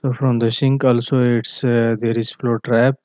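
A man tutoring on plumbing drawings, walking through a screen share in a halting way with many fillers and self-corrections. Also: medium pitch, little pitch movement, a little mellow, clear, resonant tone, no room sound. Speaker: MEP engineering tutor. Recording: dull, dry, lo-fi voice-over.

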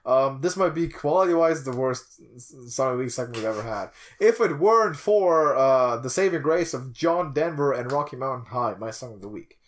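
A man's voice: mid-range voice, expressive, a little fast, few pauses. A young man hosting a podcast, chatting informally and teasing in a small room. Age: young adult. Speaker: podcast host. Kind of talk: casual podcast chat. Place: small attic room, indoors.

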